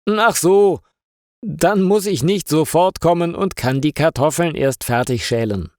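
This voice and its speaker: A man's voice: fairly high pitch, measured pace, a little mellow, dry recording, clean studio sound, pitched above the performer's own voice, close to an everyday voice. A man playing a caring father who calls out concerned questions from the kitchen in a storybook reading. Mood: relieved.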